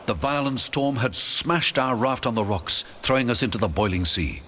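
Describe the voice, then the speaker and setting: A man's voice: medium pitch, lively intonation, moderate pace, pushed and bright. A man narrating an adventure story in a dramatic, theatrical scripted style.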